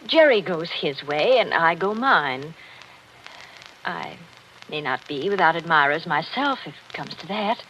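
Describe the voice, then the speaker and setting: An actress playing a hurt, bitter wealthy wife in a 1940s radio drama, speaking in a genteel, wistful way. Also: female voice, deep voice, expressive, unhurried, a bit bright. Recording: muffled old radio broadcast with hiss.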